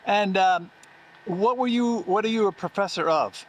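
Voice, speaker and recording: A man interviewing for an oral history project, asking his questions haltingly with many pauses and fillers. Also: high-pitched, a little hiss or hum.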